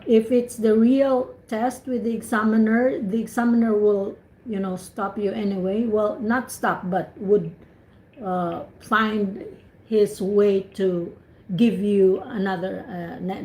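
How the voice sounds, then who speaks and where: A woman's voice: mid-range voice, fairly even intonation, measured pace, warm tone, echoey room, a little hiss or hum, low-quality recording. A Filipino woman, a native Cebuano speaker, giving encouraging spoken feedback as an English speaking tutor over a video call, with many restarts. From Philippines.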